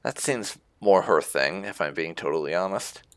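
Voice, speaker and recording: A woman's voice: a bass voice, expressive, normal speed, no room sound. A woman doing roleplay game commentary, musing and hesitant, with thoughtful hums as she reads dialogue choices aloud. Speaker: gaming video host and player.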